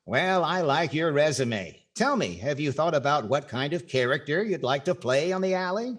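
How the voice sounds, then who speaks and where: A man's voice: fairly high pitch, expressive, moderate pace. A man playing a wry, skeptical radio comedy star in 1940s style, with theatrical timing. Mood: businesslike.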